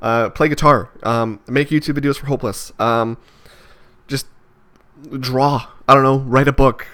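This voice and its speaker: A man's voice: medium pitch, fairly even intonation, unhurried, fairly bright tone. A man in his twenties musing aloud in a vlog, hesitant and rambling, with many fillers and restarts. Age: 20s. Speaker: vlogger. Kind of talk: reflective casual monologue.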